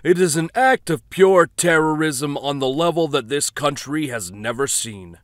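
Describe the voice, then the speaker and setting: A man's voice: a little high, normal speed, no echo, clean studio sound, voice pushed up, natural voice. Man putting on a grave, emphatic radio announcer. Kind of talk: news broadcast.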